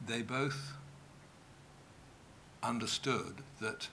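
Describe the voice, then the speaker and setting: An older man, a director, speaking enthusiastically with halting restarts on a stage panel, into a table mic. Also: mid-range voice, pushed and bright, a little hiss or hum. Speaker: television director.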